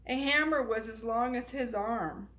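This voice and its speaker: A woman reading a children's storybook aloud in an expressive, unhurried way. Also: fairly high pitch. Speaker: storybook reader.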